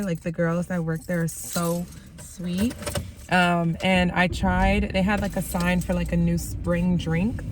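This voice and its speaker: Woman vlogger, slightly raspy, lowish voice, rambling filler-heavy chat, flavor, car noise behind. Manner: rambling, many ums and likes. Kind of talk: casual vlog chat.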